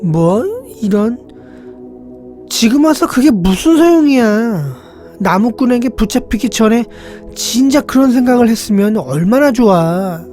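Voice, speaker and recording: A woman's voice: on the high side, expressive, a little slow, dark tone. A woman voicing a curious, blunt owl in a storybook, inquisitive and chiding. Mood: scolding. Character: curious, blunt owl questioning a caged lark. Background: background music.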